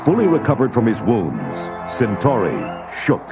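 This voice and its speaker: Male storybook narrator over loud music. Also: mid-range voice, huge pitch swings, measured pace, vocal fry. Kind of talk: scripted dramatic storybook narration. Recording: loud music and effects behind.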